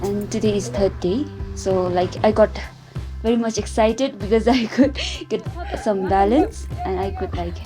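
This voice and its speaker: A woman's voice: medium pitch, normal speed, warm tone, faint music behind, poor audio. A woman in her twenties with a Bhutanese accent, speaking as a novice in a casual documentary interview. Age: 20s. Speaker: novice rider.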